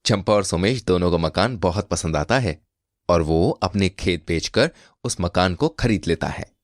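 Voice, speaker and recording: Low voiced guy telling a scripted story, very animated intonation, dry recording. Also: unhurried, no room sound. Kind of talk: scripted Hindi moral story narration.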